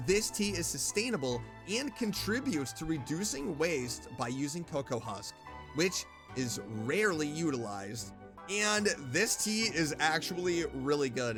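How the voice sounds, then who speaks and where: A man streaming a game and reviewing sponsored snacks in an upbeat, chatty way. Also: a little high. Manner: upbeat and chatty, reading ad copy in between. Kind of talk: casual gameplay commentary and sponsored snack review.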